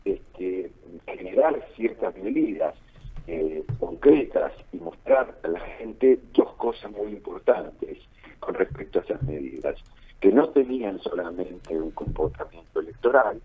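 A man's voice: low voice, animated, unhurried, breathy edge. A man with an Argentine accent giving political analysis in a radio interview, repeating phrases for emphasis. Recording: narrow phone-like line, muffled.

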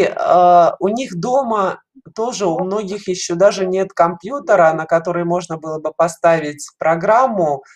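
A middle-aged woman explaining things in an interview over a video call, in halting phrases with frequent pauses. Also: lowish voice, darkish tone. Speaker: caller to an online show, head of a children's animation studio. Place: small dim room indoors, video call. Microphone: headset on a video call.

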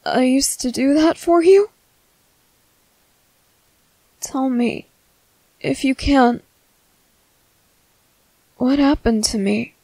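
A shy, tender young merboy, acted by a woman for an ASMR roleplay. The voice is high and soft, moving slowly and hesitantly as it soothes the listener. It sounds close and dry, with a caring, worried temper. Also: dry recording, heavily acted, poor audio. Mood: puzzled, hesitant.

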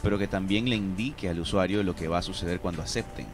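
A man's voice: deep voice, fast talker, music in the background. A man in his thirties explaining things with examples as an expert guest in a radio studio interview. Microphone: studio broadcast microphone.

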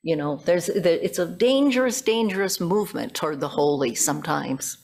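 American woman preaching in measured phrases. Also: fairly low pitch, animated, gravelly, darkish tone. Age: middle-aged. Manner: deliberate, phrase-by-phrase delivery with rhetorical questions. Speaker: pastor preaching. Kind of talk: sermon, reflective with questions to congregation. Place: church sanctuary, at the pulpit. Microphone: gooseneck pulpit microphone.

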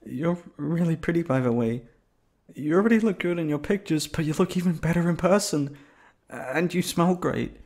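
Shy young first-date guy played by a man, higher-pitched, flustered and stammering, ASMR roleplay, echoey room sound. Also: expressive, moderate pace, a little mellow. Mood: sheepish, eager. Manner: nervous, rambling, stammering. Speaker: voice actor.

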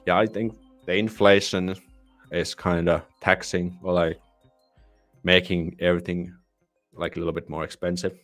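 A young man from Finland with a Finnish accent, hosting a casual live Q&A and sounding tired, with a relaxed, halting way of talking full of restarts. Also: low voice, faint music behind. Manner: relaxed, halting, with many restarts and soft laughs. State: tired, yawning. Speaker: livestream host. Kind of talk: casual live Q&A, reading viewer comments. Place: small home room with patterned curtain. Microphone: studio microphone on boom arm, headphones on.

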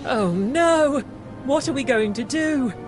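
A kindly mother goat in a kids' cartoon game. She is polite and expressive, speaking slowly with a lively rise and fall over background music. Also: high-pitched. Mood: worried. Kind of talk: children's cartoon game voice acting. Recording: music behind the voice.

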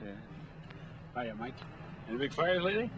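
An actor playing a smooth-talking middle-aged drifter who asks questions, in 1940s film acting style. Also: male voice, mid-range voice, big pitch swings, measured pace. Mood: friendly, probing. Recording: muffled, noisy 1940s film soundtrack.

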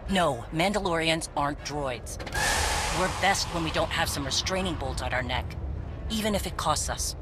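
A woman's voice: sits low, normal speed, heavy background noise, natural voice. A woman playing a proud, resolute warrior leader in a video game, speaking firmly and with command. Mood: measured. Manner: firm, commanding. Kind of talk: dramatic video game acting. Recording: music behind dialogue.

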